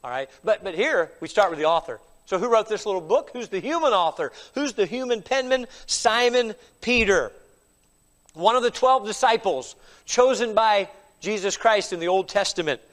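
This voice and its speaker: High-voiced stuffy preacher, emphatic. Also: male voice, expressive, normal speed, a bit bright. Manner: emphatic preaching with frequent "all right?" asides. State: stuffy, slight cold. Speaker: pastor. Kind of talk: sermon.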